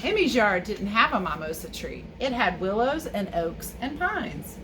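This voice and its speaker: A woman teacher reads a picture book aloud for children, adding chatty asides. Her intonation rises and falls widely, and clear ambient noise runs behind her voice. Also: medium pitch, big pitch swings, a little slow.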